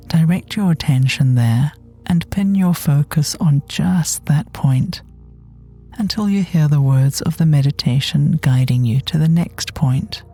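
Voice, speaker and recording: A woman leads a guided relaxation in a gentle, mellow voice. She is breathy and often slips toward a whisper. Her delivery is soothing and very unhurried, leaving long pauses between phrases. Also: very deep voice. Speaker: guided meditation teacher. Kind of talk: guided body scan meditation. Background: soft meditation music.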